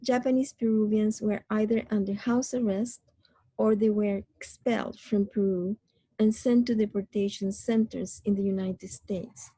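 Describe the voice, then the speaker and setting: A woman from Chile, an artist, reading her installation statement in a measured, reflective way. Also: mid-range voice, fairly even intonation, mellow, breathy edge, no room sound, rough recording.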